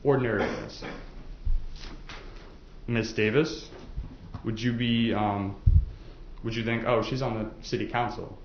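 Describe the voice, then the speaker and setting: A man's voice: medium pitch, steady tone, unhurried, long pauses. A man questioning jurors as a lawyer in a courtroom, speaking politely and conversationally with some hesitant phrasing. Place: indoor courtroom. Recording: echoey, dull courtroom audio, faint noise.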